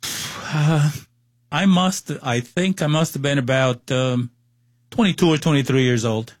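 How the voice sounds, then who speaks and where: Cuban-accented man in his sixties, interview guest, slow and halting, dry close sound. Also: on the high side, dry recording. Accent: Cuban Spanish. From Cuba. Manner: hesitant, many fillers and restarts. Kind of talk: personal testimony interview.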